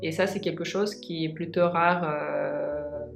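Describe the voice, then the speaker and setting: A woman in her twenties whose first language is Russian, talking in a casual vlog and pausing often with fillers and restarts. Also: low-pitched, steady tone, creaky, soft background music. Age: 20s. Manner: hesitant, many pauses and fillers. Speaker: vlogger. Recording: echoey room.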